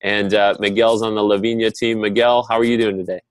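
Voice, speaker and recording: Low, dry-voiced man hosting a webinar. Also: little pitch movement, brisk, no echo. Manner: informal, conversational. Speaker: host moderating an online panel. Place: online video call.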